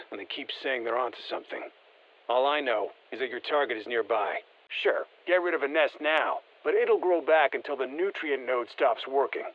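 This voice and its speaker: A man's voice: medium pitch, dull audio. An actor playing a confident, folksy male soldier in a video game, giving colloquial, clipped tactical briefings through a radio filter. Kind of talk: scripted game voicelines, mission briefing.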